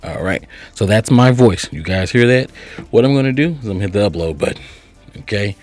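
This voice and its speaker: Low voiced guy walking you thru software, chatty, keeps checking in, faint music behind. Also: lively intonation, brisk. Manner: chatty, instructional, frequent check-in tags like right and okay. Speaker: host of software tutorial channel. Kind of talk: casual step-by-step tutorial narration.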